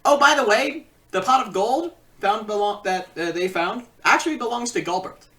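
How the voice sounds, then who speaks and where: A woman's voice: medium pitch, moderate pace, fairly bright tone, far from the mic. A woman giving a casual, comedic review with sarcastic, rambling asides. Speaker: video reviewer.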